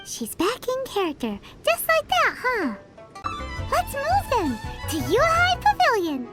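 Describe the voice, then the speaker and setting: A woman's voice: huge pitch swings, unhurried, full character voice. A woman voicing a tiny, chirpy, childlike fairy companion in an anime game, bubbly and cheeky. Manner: squeaky, bubbly, cartoonish. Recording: prominent playful music under the voice.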